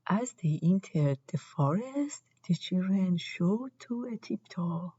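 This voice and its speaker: A woman reading a children's storybook aloud in an expressive, deliberate way. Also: on the low side, husky, highs cut off. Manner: deliberate, acts out characters' lines. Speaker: storybook reader.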